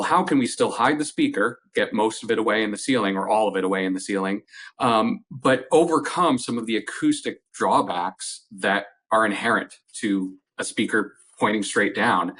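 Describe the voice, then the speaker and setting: Low-voiced man explaining technical gear. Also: a bit bright. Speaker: company representative, audio product expert. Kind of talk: conversational technical explanation in an interview.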